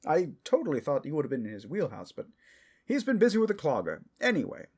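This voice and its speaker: A man acts out a famous, blundering but well-meaning superhero in a comedic audio drama. His voice is fairly high and husky, with very animated pitch, moving between heroic bluster and earnest, chatty rambling. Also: quick pace, exaggerated voice. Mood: breezy, concerned.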